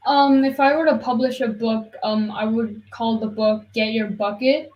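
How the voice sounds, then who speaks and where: A woman's voice: a little high, steady tone, normal speed, muffled recording. A young woman answering casually and a little hesitantly as a student guest on a panel podcast.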